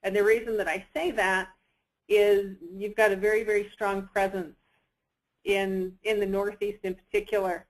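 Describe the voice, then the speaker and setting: Woman doing a chatty sales pitch on a conference call, pretty even tone, not much up and down. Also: moderate pace, poor audio.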